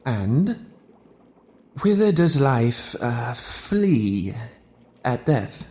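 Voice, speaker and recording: An actor playing an obsessed young gentleman scientist in an audio drama, speaking with fervent, formal, declamatory diction. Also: mid-range voice, sing-song, very slow. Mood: excited, fervent. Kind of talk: theatrical audio drama acting.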